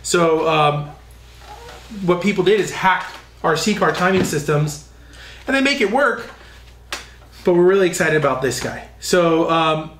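A man in his thirties with a fairly high voice hosts a casual interview, eagerly summing things up. The sound is echoey, like a workshop, with faint noise and clicks behind him. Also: animated, measured pace, long pauses, fairly bright tone. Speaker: interviewer, host. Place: indoor workshop with hard walls. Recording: roomy echo, faint background noise, clicks.

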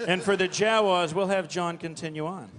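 A man's voice: sits high, steady tone, moderate pace, a bit bright. A man in his thirties announcing roles as moderator of a live panel. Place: large convention hall stage. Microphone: handheld or stand microphone. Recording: reverberant hall, slight noise.

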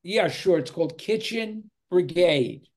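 A man's voice: sits high, expressive, a little slow, darkish tone. An older man moderating a film discussion on a video call, talking with many fillers. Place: home room with virtual cinema backdrop.

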